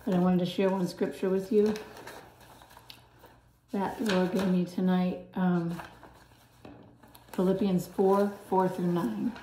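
A woman with a raspy, somewhat low and mellow voice preaches to her viewers with earnest urgency. She often sinks into a soft, breathy hush. The recording rings with the echo of the room. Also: little pitch movement, slow, long pauses, a little hiss or hum. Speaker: channel host preaching.

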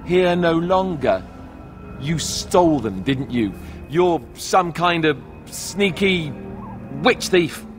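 An actor playing a wary, wry young warrior in a fantasy game, speaking in a dry, accusing manner. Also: male voice, on the high side, expressive, takes it slowly. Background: game music.

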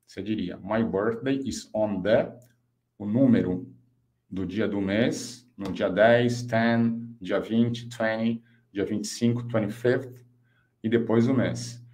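A man in his fifties with a Brazilian accent, a native Portuguese speaker, teaching an online English lesson and slowly enunciating example phrases. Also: lowish voice, darkish tone. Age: 50s. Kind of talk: online language lesson, explaining and modelling phrases.

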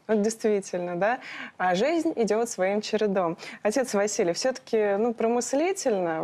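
Woman, TV talk show host, medium pitch, chatty, expressive, studio sound. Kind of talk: live studio presenting, conversational.

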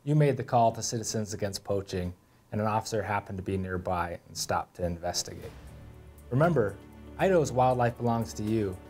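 A man's voice: fairly low pitch, lively intonation, fairly quick, a little mellow. A man in his thirties with an American accent, giving a scripted instructional presentation as a conservation officer. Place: indoor room beside whiteboard. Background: music.

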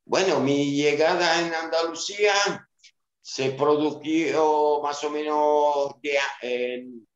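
A man's voice: on the high side, steady tone, slow. A man in his fifties from Sicily, speaking with an Italian accent as an interview guest, hesitant and full of fillers and restarts, on a video call. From Sicily, Italy. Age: 50s. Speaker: interview guest, club director. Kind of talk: casual interview answers. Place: small room at home. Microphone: video call webcam. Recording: roomy, dull video call audio.

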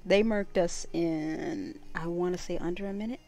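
A woman's voice: on the low side, normal speed, darkish tone, a little hiss or hum. A woman livestreaming a game with casual, playful, chatty live commentary. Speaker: gaming livestreamer.

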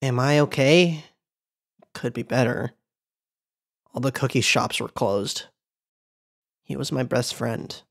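A woman voice actor improvising emotional lines for a demo reel in an expressive, theatrical manner. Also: a bass voice, slow pace, gravelly, pauses often.

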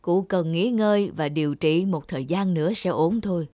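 Woman voicing a low-pitched old village healer. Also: warm tone, no room sound, clean studio sound, close to an everyday voice. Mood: advising. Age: older. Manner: measured, advising, earnest. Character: kindly, conscientious old male village healer. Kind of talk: children's cartoon dubbing. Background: music.

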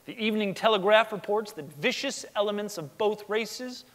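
A man's voice: high-pitched, moderate pace, a bit bright. A man giving a scripted dramatic reading as narrator on a theatre stage. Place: large indoor theatre stage. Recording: echoey theatre hall.

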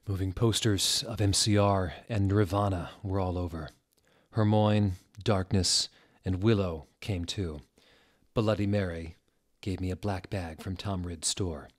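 A man in his thirties giving a deliberate, theatrical reading of fan fiction, close to a desk microphone. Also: sits low, steady tone. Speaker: reader, show host. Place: small furnished room indoors.

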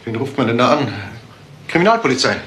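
A man's voice: medium pitch, very animated, fast talker, fairly bright tone, slight background noise. An actor playing a suspicious, worried middle-aged father in a German crime radio play, stern and insistent. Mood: anxious, stern. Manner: agitated, insistent.

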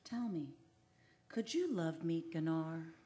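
Slow, mysterious sea woman. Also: deep voice, lively intonation, warm tone. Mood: yearning, grave. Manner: formal, deliberate, direct. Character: mysterious, imperious sea woman, longing for love. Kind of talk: old-time radio drama acting.

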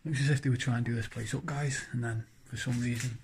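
A man's voice: medium pitch, steady tone, fast talker, vocal fry, a little hiss or hum. A young man giving casual running commentary as he explores an abandoned farmhouse, pointing out old objects and calling to a friend. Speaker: urban explorer vlogger. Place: abandoned farmhouse rooms and cellar, indoors. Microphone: handheld phone camera.

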